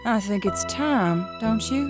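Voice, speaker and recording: An actress playing a cool, mocking, deadly gunslinger in a western audio drama, unhurried and sardonic. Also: female voice, on the high side, animated, music in the background. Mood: coolly menacing.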